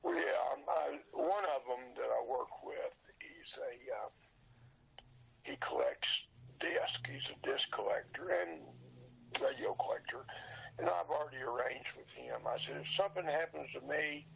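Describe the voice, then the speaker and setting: An older man with a hoarse, breaking voice, talking earnestly and haltingly as a guest in a casual interview. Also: medium pitch, expressive, fairly bright tone, lo-fi sound. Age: older, 60s or above. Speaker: podcast guest, radio show collector.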